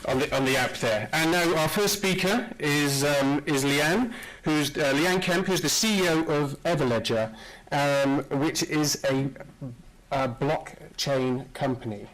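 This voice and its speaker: A man's voice: fairly high pitch, measured pace, a bit bright, poor audio. A man hosting a conference in an informal, hesitant and jokey way. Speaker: conference host introducing speakers.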